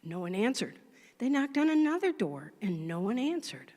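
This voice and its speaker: A woman's voice: a little high, moderate pace. Woman telling a folktale in an echoing church. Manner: animated, expressive, acting out voices. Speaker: storyteller at a church service. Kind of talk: storytelling for all ages. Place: church sanctuary. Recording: long church hall reverb.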